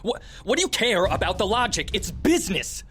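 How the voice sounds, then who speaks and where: An actor playing a shrewd, pragmatic tech executive held captive, persuasive and businesslike. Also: male voice, high-pitched, sing-song, brisk, fairly bright tone, slight background noise. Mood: insistent. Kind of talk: audio drama acting.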